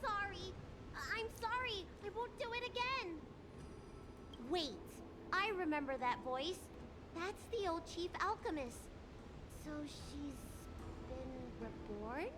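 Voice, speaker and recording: Cute childlike girl healer for a game, piping voice, unhurried and animated, heavy noise behind. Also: very high voice, heavily acted. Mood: sheepish, then puzzled. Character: small childlike dragon girl healer, cheeky and naive. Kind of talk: animated video game dialogue.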